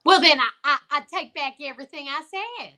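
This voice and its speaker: Theatrical, arch photographer, female performer, high and bright, unhurried, for old-time radio comedy. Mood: arch, droll.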